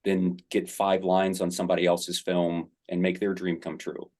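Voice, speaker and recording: A man's voice: sits low, monotone, moderate pace, a little mellow. A middle-aged man, an actor, answering interview questions in a rambling, enthusiastic way with many fillers.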